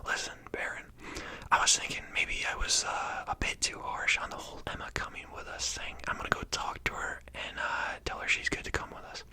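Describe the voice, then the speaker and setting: A whispering narrator voicing a hot-tempered young male survivor in a soft, unvoiced whisper. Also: brisk. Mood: sheepish. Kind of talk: whispered ASMR story reading.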